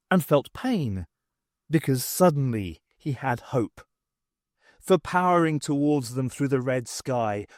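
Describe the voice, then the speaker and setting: A man's voice: medium pitch, a little mellow, dry recording, clean studio sound. A man reading scripted fiction as an audiobook narrator, with a dramatic delivery. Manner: dramatic reading with acted dialogue. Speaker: audiobook reader.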